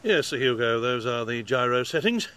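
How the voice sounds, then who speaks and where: Official minister, deferential, medium pitch, faint ambience, radio drama. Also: male voice, lively intonation, normal speed, sharp tone, a little hiss or hum. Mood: formal assurance. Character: formal male government minister.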